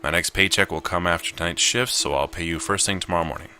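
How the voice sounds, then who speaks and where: Man reading as a wry, deep-voiced young tenant woman. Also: fast talker, pushed and bright, faint music behind. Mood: grudging, apologetic. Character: sarcastic, ambitious young woman calligrapher, put-upon tenant. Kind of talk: visual novel read aloud.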